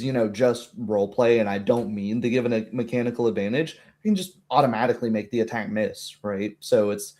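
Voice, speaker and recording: A man talking casually as a game master about a tabletop roleplaying session, in an animated, rambling way. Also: medium pitch.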